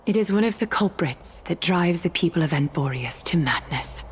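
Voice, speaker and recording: A woman playing a composed, authoritative leader in a fantasy game, speaking in a measured, formal and deliberate way. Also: fairly low pitch, lively intonation, a little hiss or hum, close to an everyday voice. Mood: calm, grave. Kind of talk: dramatic fantasy game dialogue. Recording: background music behind the voice.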